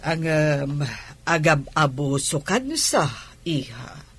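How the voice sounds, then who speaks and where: A woman's voice: deep voice, takes it slowly, fairly bright tone, husky, heavily acted. A woman playing a nosy, frank, knowing middle-aged auntie in an Ilocano radio drama. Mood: probing.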